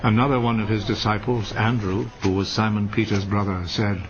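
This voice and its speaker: A man's voice: lowish voice, flat delivery, brisk, crowd noise. A man narrating scripture from a script for a film. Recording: muffled film soundtrack. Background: loud music.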